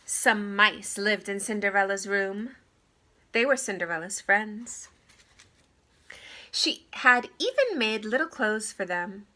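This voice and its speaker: A woman narrating a children's story aloud in an expressive, theatrical way. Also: mid-range voice, slow. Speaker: storybook reader.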